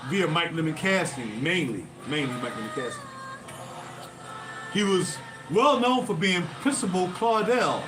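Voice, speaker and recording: A man rambling through stories to his livestream viewers, often drifting off topic and losing his thread. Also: high voice. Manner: digressive, loses his thread, talks to viewers directly. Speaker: musician hosting a livestream. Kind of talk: casual rambling livestream storytelling. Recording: distant mic with music playing behind.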